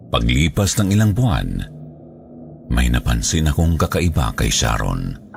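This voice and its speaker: A man's voice: very deep voice, vocal fry, a little mellow. A man reading a scripted first-person horror story as its narrator, with music playing behind him. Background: background music.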